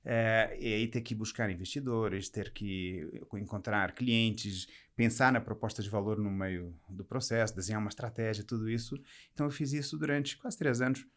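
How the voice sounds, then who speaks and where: A man raised in Portugal, with a Portuguese accent softened by years in Brazil, talks reflectively as a podcast guest, explaining and rephrasing as he goes. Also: lowish voice, normal speed. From Portugal, raised there from age seven. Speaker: podcast guest, business executive. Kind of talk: conversational interview, personal career account.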